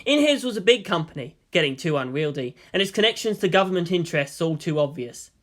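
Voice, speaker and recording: A man's voice: on the high side, lively intonation, fast, a bit bright. A man reading a story aloud as a narrator, in a scripted storytelling style. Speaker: fan fiction reader.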